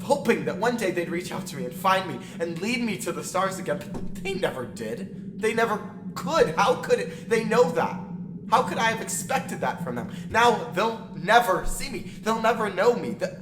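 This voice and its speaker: A man playing a grieving, lonely young man in an anguished, halting audio drama monologue. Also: high voice. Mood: distraught. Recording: roomy echo with clear background noise.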